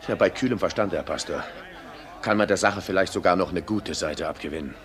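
A self-important factory boss acted by a man in a radio drama, low-voiced and formal, quick to snap. A faint murmur of a crowd lies behind him. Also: close to an everyday voice. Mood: measured, aggrieved. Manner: bourgeois formal diction, snapping. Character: irascible, self-important male factory owner. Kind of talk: theatrical German radio play acting.

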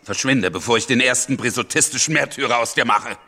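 An actor playing a blunt, domineering, ambitious revolutionary leader, curt and scornful, in a radio drama. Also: male voice, mid-range voice, fairly quick, bright, forceful tone, a little hiss or hum. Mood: irritated, commanding.

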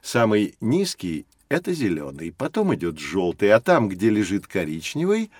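An actor playing a kindly, enthusiastic professor, explaining things expressively to children in a scripted educational audio drama. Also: male voice, on the low side, huge pitch swings, moderate pace, a little mellow, dry recording. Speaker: professor, teacher.

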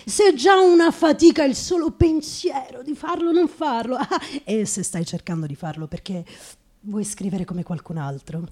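A woman playing a world-weary, intense male writer in a theatrical radio reading, halting and repeating phrases. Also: on the high side, a little mellow, natural voice. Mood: intense, insistent. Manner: halting dramatic delivery with repeated phrases and pauses. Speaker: stage actress performing a literary monologue.

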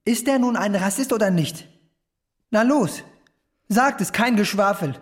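A man's voice: high-pitched, measured pace. A young man playing a bitter, provocative teenage schoolboy in a German radio drama, curt and confrontational.

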